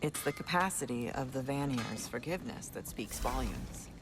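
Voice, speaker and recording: A woman's voice: extremely low pitch, expressive, moderate pace, over music. An actress playing a dignified noble queen in a game, stern but caring, with dry wit. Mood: firm. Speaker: leader of refugee people.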